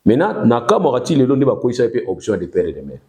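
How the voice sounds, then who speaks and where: A Congolese man with a Congolese accent speaks in a mellow, darker voice. He explains a legal point at length and steadily, as a guest on a political talk show, and slips between French and Lingala as he goes. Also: mid-range voice, huge pitch swings, brisk. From Congo-Kinshasa.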